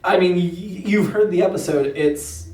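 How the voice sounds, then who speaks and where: A man's voice: high voice, moderate pace, darkish tone. Male interview host, informal and affirming, unscripted delivery. Roomy sound, a bit off-mic. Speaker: podcast host and radio show writer. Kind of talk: casual conversational interview. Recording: roomy, slightly distant mic.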